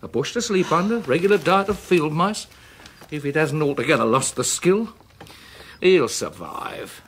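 An actor playing a callous, sarcastic, bullying husband with a British accent in a radio drama. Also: male voice, a little high, lively intonation, normal speed, fairly bright tone, slight background noise. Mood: mocking. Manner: hectoring, sarcastic, clipped repetitions. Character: callous, bullying, sardonic husband, impatient and scheming.

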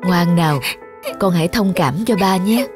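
A patient, loving cartoon father, voiced by an actor, talking in a coaxing way as if to a small child. Music is clearly heard behind him. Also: medium pitch, normal speed, close to an everyday voice. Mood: soothing. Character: patient, loving father soothing his young daughter. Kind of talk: cartoon voice acting.

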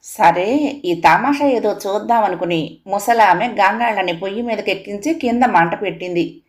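A woman's voice: medium pitch, normal speed. A woman narrating a scripted moral folk tale as a storyteller.

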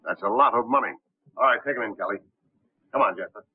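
An actor playing a cool, commanding investigator in a 1930s film, with a husky voice, on a scratchy old soundtrack. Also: male voice, fairly low pitch, fast talker, narrow, tinny audio. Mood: brisk, composed.

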